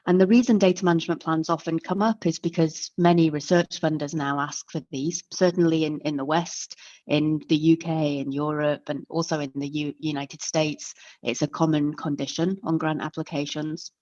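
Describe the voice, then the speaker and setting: A woman's voice: low-pitched, steady tone, normal speed, warm tone, dry recording. A woman presenting an online lecture and explaining things step by step in a friendly way. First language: English. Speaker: webinar presenter. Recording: dull lo-fi call audio.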